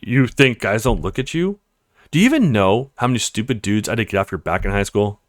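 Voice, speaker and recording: A man's voice: fairly low pitch, animated, a little fast, no echo, clean studio sound, close to an everyday voice. A man voicing a loyal, protective young male best friend in a visual novel, speaking earnestly and bluntly to reassure. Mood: reassuring. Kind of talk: visual novel character read-aloud.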